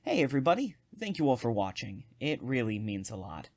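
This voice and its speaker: A man's voice: mid-range voice, big pitch swings, brisk, no echo, studio quality. A man giving a friendly promo straight to listeners as a channel producer.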